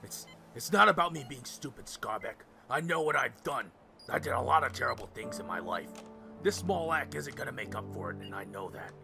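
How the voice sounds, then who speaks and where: A man's voice: mid-range voice, lively intonation, a little fast, vocal fry, pushed and bright, soft background music, exaggerated voice. An actor playing a crime boss's earnest, conflicted henchman in an audio drama, speaking expressively. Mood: resigned, defiant.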